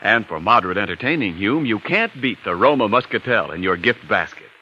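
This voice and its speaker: A muffled, faintly hissy old radio recording of an American male announcer. He is polished and salesman-like, with bright projection and swooping intonation in 1940s commercial style. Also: lowish voice, animated, brisk. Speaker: radio announcer and sponsor pitchman.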